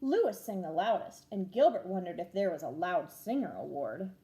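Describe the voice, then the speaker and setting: A woman teacher reading a children's storybook aloud in an expressive, clearly paced way, acting out the dialogue. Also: fairly low pitch, moderate pace, rough recording.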